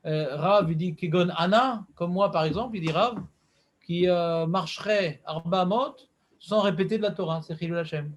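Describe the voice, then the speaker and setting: A man's voice: sits high, normal speed. A man teaching an informal religious class, bantering and joking with his students.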